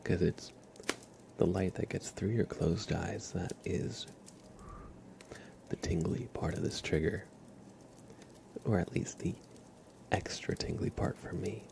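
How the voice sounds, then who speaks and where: A man speaking gently and softly, at times whispering, as an ASMR livestreamer guiding a relaxing scene. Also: sits low, fairly even intonation. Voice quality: soft, partly whispered. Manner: gentle, unhurried, casual. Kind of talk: ASMR, soft relaxing talk and guided visualization. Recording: clear crinkling and ambient noise.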